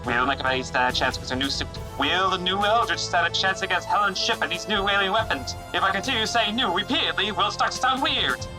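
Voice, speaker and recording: Highish male serial narrator over music. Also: quick pace, few pauses, poor audio.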